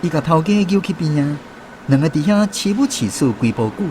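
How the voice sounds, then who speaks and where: Higher-pitched Taiwanese man narrating radio drama. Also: animated, brisk, darkish tone, slight background noise, low-quality recording.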